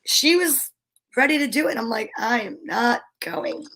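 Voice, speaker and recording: A woman's voice: on the high side, expressive, a little slow, gravelly, a bit bright, a little hiss or hum, rough recording. A woman co-host in casual, rambling, joking conversation on a livestream. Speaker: show co-host. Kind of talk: casual chat.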